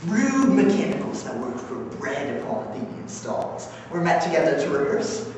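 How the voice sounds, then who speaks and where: A man playing a mischievous male fairy sprite, declaiming Shakespearean verse loudly with swooping, drawn-out words. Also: fairly high pitch, very animated. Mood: gleeful, mischievous. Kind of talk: theatrical Shakespearean verse monologue. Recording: echoey theater recording from far off, noisy.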